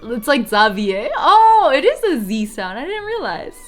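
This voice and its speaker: A woman livestreams a game with casual, playful chat. Her voice is a little high and swings widely with excitable energy. Game music runs faintly behind her. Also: normal speed.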